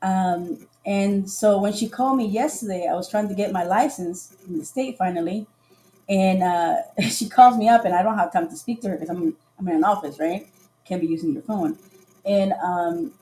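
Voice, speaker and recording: Mellow-voiced chatty woman from Costa Rica. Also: medium pitch, slight background noise, rough recording. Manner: chatty storyteller who acts out quoted dialogue. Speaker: podcast guest. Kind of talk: casual chat on a livestream.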